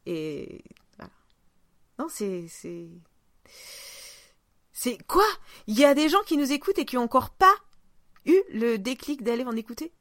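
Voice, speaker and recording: A woman's voice: fairly high pitch, sing-song, measured pace, pauses often, a bit bright, no echo, clean studio sound. A woman hosting a podcast in a casual, informal and playful conversational style. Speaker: podcast host.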